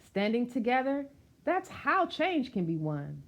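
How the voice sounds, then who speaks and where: A woman reading a rhyming picture book aloud as a storyteller, expressive and emphatic, with questions and asides to her listeners. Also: a little high, a little slow.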